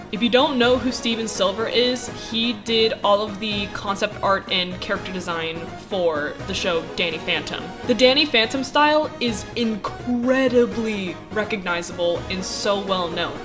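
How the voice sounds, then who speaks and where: Blunt, laughing young woman over game music. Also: mid-range voice. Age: young adult, 21. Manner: blunt and opinionated, laughs often, swears. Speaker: animation student and YouTube artist. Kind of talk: casual Q&A vlog. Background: video game music.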